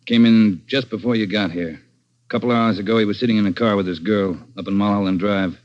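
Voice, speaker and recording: A brisk, commanding chief of detectives, played by a man in an old radio crime drama. His voice sits fairly low, and the recording has the dull, lo-fi sound of an old broadcast. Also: fairly even intonation, close to an everyday voice. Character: brusque male chief of detectives. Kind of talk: 1940s radio acting.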